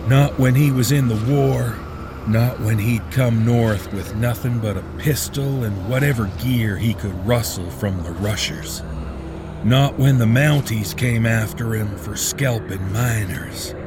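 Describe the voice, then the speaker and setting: A man giving slow, dramatic, scripted horror narration for a podcast. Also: medium pitch. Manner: slow, deliberate, dramatic delivery. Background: music.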